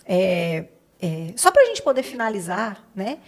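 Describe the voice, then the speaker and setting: A woman with a Brazilian accent and a medium-pitched voice hosts a podcast conversation. She speaks in a reflective, affirming way, like an interviewer summing up and prompting. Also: very animated, measured pace. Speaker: podcast host.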